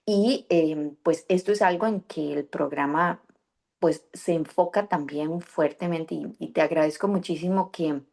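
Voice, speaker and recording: Woman podcast host, lowish voice, thinks out loud with lots of ems and restarts. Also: measured pace, creaky. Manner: warm, reflective, many fillers and restarts. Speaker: podcast host and bilingual parenting coach. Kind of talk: conversational interview.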